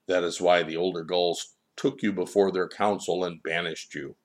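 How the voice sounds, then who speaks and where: A man's voice: deep voice, steady tone, moderate pace, natural voice. A man voicing a stern, wise boy in a storybook, admonishing in solemn, declarative sentences. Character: stern, wise, all-knowing boy child, calmly admonishing. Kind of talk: storybook character dialogue.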